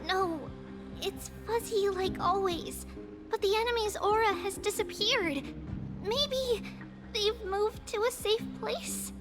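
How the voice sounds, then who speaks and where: A woman's voice: very high voice, slow. A woman voicing an earnest, childlike little girl seer in a video game. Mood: worried. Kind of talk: scripted video game voice acting. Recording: loud background music. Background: music.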